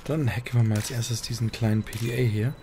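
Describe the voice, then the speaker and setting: Casting: male gameplay commentator, casual, gravelly fry, mid pitch, clear game noise behind. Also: steady tone, a little fast. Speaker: let's play commentator. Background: video game sound.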